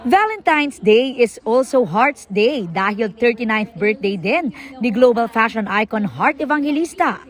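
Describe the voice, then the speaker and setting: A woman with a Filipino accent narrates entertainment news from a script. Her pitch is on the high side, and she speaks as a broadcast presenter. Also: animated, measured pace, few pauses.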